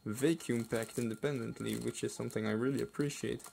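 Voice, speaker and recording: A man's voice: medium pitch, steady tone, a little fast, vocal fry, a little mellow. A man giving casual, hesitant unboxing commentary as a hobby builder, with offhand trailing asides. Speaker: hobby builder and video host. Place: indoors at a wooden table. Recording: faint noise, crinkling packaging. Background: clicks and crinkling plastic bags.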